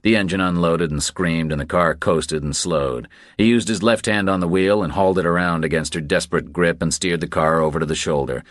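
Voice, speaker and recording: A man's voice: deep voice, a little fast, hardly any pauses, no room sound, studio quality. A man reading a thriller as a scripted audiobook narration.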